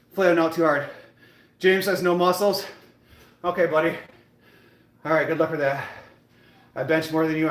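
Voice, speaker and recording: High-voiced American man, echoey room. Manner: motivational, repetitive, addressing viewers by name. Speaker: fitness live streamer. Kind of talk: casual livestream chat while working out. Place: indoor gym.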